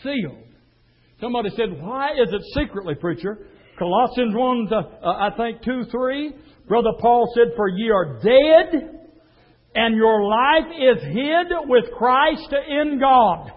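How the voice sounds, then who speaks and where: A man preaching a fiery sermon, dropping to a low murmur and then rising to a shout. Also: expressive, measured pace. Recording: tinny phone-like sound.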